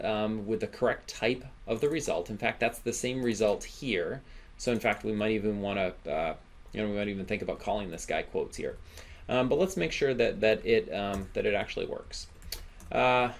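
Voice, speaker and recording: Low, creaky-voiced man teaching. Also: a little hiss or hum. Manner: casual, informal explaining, often ends phrases with "right?". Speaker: instructor. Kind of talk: software screencast tutorial, thinking aloud while coding.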